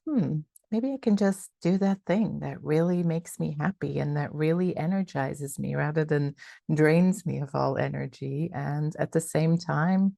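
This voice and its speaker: Low, gravelly woman from Belgium. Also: dark tone, no room sound, clean studio sound. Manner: reflective, measured, with many fillers and restarts. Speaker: guest, coach and founder. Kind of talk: casual interview talk.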